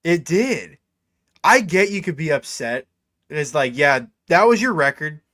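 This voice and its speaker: Young male co-host, relaxed sports banter, dry recording. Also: fairly high pitch, unhurried, dry recording. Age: 20s. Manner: laid-back, says "dude" a lot. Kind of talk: casual sports chat.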